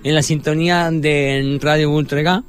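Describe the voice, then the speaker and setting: A man from Catalonia with an Andalusian accent, opening his radio show in an earnest, measured way. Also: fairly high pitch, steady tone, clean tone, faint music behind. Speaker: radio host.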